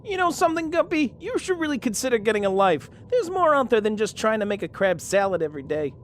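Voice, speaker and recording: Woman doing a high-pitched, wisecracking robot rat. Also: big pitch swings, quick pace, slight background noise. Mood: cocky, needling. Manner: slangy, mocking, teasing. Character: wisecracking male robot rat, scrappy and cocky. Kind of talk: fan radio drama acting.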